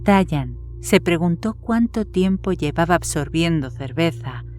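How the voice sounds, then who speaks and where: A woman's voice: lowish voice, lively intonation, unhurried, darkish tone, soft background music. A woman reading a horror story as scripted narration.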